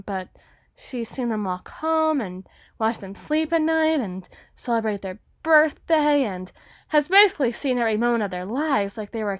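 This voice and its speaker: A high, girlish voice performed by a woman belongs to a flustered teenage writer in an audio roleplay. She rambles slowly and dreamily, gushing with obsessive lovestruck devotion. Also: no echo, studio quality. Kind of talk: ASMR roleplay monologue.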